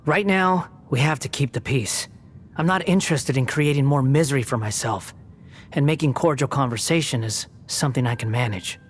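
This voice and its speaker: A man's voice: medium pitch, moderate pace, slight background noise, close to an everyday voice. A man voicing a sardonic young male puppet held as a prisoner in a game cutscene; his speech is dry, mocking and aloof.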